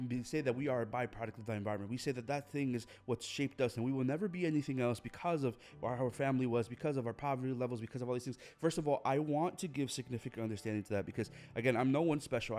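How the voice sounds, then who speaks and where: Man, young adult, podcast host, motivational monologue, earnest, repetitive, medium pitch. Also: darkish tone. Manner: earnest, repetitive, restarts phrases.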